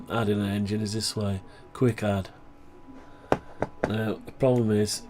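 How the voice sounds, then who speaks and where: A low, gravelly man with a groggy voice, still getting over the flu. He rambles casually through a demo, thinking aloud and clearing his throat now and then. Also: mellow. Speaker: hobbyist maker showing his own software. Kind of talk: casual screen-share project update.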